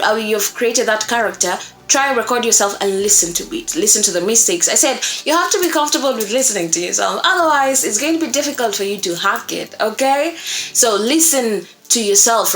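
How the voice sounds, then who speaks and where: A woman's voice: mid-range voice, fairly bright tone, rough recording. A woman from Kenya with a Kenyan accent, teaching voice acting in an earnest, coaxing way and often checking in with her listeners. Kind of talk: instructional talk to camera.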